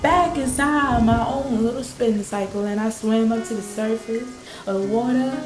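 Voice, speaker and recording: A woman's voice: a little high, moderate pace, over music, natural voice. A woman playing a storm-shaken fisher in a radio play, recounting a surreal vision at sea in intense, colloquial storytelling. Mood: exhilarated. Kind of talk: dramatic radio play monologue. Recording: roomy, echoing sound.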